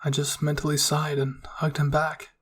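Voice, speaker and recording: A man's voice: fairly high pitch, monotone, moderate pace, slightly rough. A man narrating a first-person fan fiction story as an ASMR roleplay.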